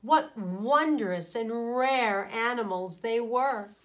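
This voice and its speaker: A woman, a teacher reading a children's storybook deliberately and expressively. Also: fairly high pitch, fairly bright tone. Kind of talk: storybook read-aloud for children. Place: small indoor room.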